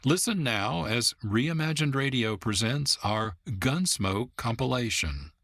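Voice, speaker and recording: A man's voice: medium pitch, expressive, unhurried, heavy background noise. A man hosting a radio program, reading scripted narration. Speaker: producer and host.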